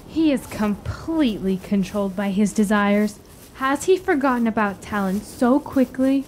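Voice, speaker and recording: An actress playing an anxious, questioning traveler in a fantasy radio drama, with formal, earnest phrasing. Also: female voice, mid-range voice, lively intonation, normal speed, a little mellow, slight background noise, natural voice. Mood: dismayed.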